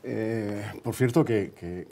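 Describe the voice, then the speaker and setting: A man's voice: on the low side, takes it slowly, warm tone, a little hiss or hum. A man from hosting a broadcast interview in a conversational, somewhat hesitant way, repeating words as he goes. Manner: hesitant, repeats words, checks with short tags. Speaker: TV programme host, interviewer.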